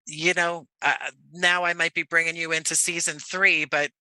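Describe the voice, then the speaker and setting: A man's voice: fairly high pitch, flat delivery, normal speed, pushed and bright, smooth, no echo, poor audio. A man from Jersey City talking casually as a guest on a podcast. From Jersey City, United States. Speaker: podcast guest, audio playwright. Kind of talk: casual interview chat.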